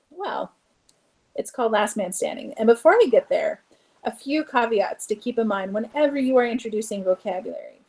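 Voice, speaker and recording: A woman's voice: medium pitch, a little mellow. A woman giving an online teacher training presentation on classroom activities, speaking casually with frequent fillers.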